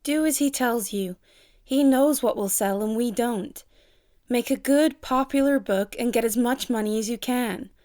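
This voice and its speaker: A woman acting as a practical, worldly young sister in a period radio play. Her voice sits fairly high, and she states her opinions pertly and plainly. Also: unhurried, a little mellow, natural voice. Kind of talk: period audio drama acting.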